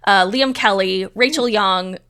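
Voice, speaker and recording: A woman's voice: medium pitch, measured pace, bright, forceful tone, clear, resonant tone. A woman chatting casually as an interview guest, speaking in an effusive, emphatic way. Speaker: theatre stage manager, interview guest. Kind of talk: casual chat.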